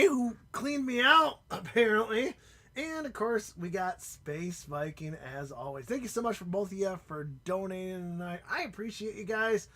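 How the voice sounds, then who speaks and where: A man hosting a live stream in casual chat, excitable and prone to loud shouts and laughter, and losing his voice. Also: extremely high pitch. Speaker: livestream host.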